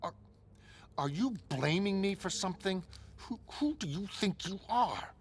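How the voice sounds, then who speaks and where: A man voicing a distraught, defensive, sharp-tongued woman who sounds flustered and indignant. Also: sits high, lively intonation, a little slow. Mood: defensive, angry.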